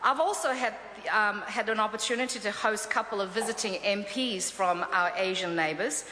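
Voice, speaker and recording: Bright-voiced Korean-born woman in a chamber. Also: mid-range voice, moderate pace, slight background noise. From South Korea. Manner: upbeat, frequent uh fillers. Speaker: member of parliament. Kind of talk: parliamentary speech. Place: large parliamentary chamber. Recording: echoey chamber with long reverb.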